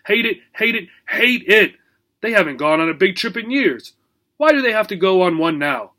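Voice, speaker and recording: Man playing a gruff, grumbling old dog. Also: sits high, expressive, normal speed, pushed and bright. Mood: anxious wailing.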